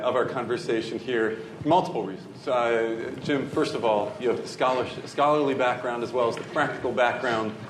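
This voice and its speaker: A man's voice: mid-range voice, a little hiss or hum. A man moderating a panel, introducing the next speaker. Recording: echoey room heard from a distance.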